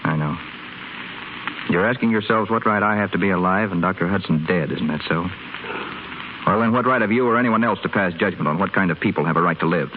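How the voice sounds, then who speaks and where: A man's voice: low-pitched, brisk, natural voice. An actor playing a spoiled, restless young rich man in a hospital, sardonic and wisecracking, in 1930s radio drama style. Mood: bitter. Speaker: hospital patient. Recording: tinny, faintly hissy 1930s radio broadcast.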